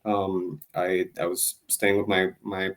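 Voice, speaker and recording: A man's voice: deep voice, flat delivery, takes it slowly. A man from Puerto Rico answering interview questions earnestly, with frequent restarts and fillers. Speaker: guest, advocacy group president.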